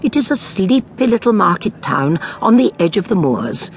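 An actress playing a shrewd elderly English amateur sleuth in an audio drama, measured, precise and gently probing. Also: female voice, medium pitch, very animated, slight background noise. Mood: wry, measured. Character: shrewd elderly amateur sleuth Jane, calmly inquisitive narrator. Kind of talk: dramatised audiobook acting and narration.

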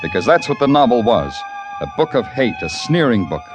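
An actor playing a hard-boiled police lieutenant who narrates a 1950s radio crime drama, with a dry, wisecracking delivery. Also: male voice, mid-range voice, sing-song, brisk, music in the background, natural voice. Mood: wry narration. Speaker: narrator and lead.

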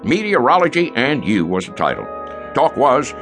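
Folksy, bragging rural American storekeeper, lively. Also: male voice, medium pitch, over music. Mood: boastful. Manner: unhurried, drawling, bragging. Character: folksy, boastful small-town storekeeper telling tall tales. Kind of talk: radio drama acting.

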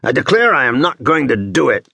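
A storybook village idler, acted out by a man who loves to give orders. The voice jumps high and swings widely, with a rough husky grain. He is excitable, irritable and boastful, forever scolding. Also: unhurried, no room sound, full character voice. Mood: indignant boasting.

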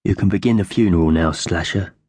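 A sleek magpie gang leader from a children's storybook, played by a male reader. He is cruel and commanding, with a solemn, hard-edged delivery and plenty of swing in his pitch. The sound is dry. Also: expressive, a little slow, no room sound. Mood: quiet, commanding.

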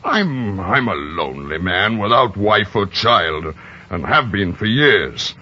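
An actor playing a gruff, lonely middle-aged merchant, blunt and earnest, in old-fashioned rustic speech and radio drama style. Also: male voice, on the low side, very animated, takes it slowly, pushed and bright, full character voice. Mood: pleading, fond. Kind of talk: 1940s radio acting. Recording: dull vintage radio recording.

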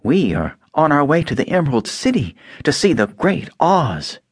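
A man voicing a gentle young girl who speaks softly and kindly. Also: on the high side, sing-song, normal speed, breathy edge, dry recording, clean studio sound, pitched above the performer's own voice. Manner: soft, kind.

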